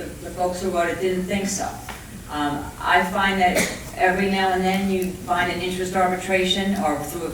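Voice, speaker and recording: An echo-heavy conference recording with faint noise carries a middle-aged or older speaker at some distance from the mic. The accent is Brooklyn and the voice sits at a medium-to-ambiguous pitch. The delivery is conversational and emphatic, like a seasoned negotiator explaining things plainly to a panel audience. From Brooklyn, New York, USA. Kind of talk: panel talk, speaking off the cuff. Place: indoor conference room with audience.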